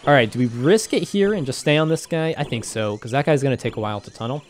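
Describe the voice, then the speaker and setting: Slightly hoarse man casually commenting on a game. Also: mid-range voice, animated, quick pace, slight background noise. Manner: rambling, self-deprecating, laughs often. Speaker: gaming commentator.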